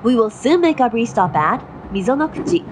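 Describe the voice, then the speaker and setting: A woman reads formal station announcements. She is slow and measured, with a fairly high voice. Faint rumble of a running train sits behind her. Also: expressive. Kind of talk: scripted railway announcement. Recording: noisy onboard train recording. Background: train running noise.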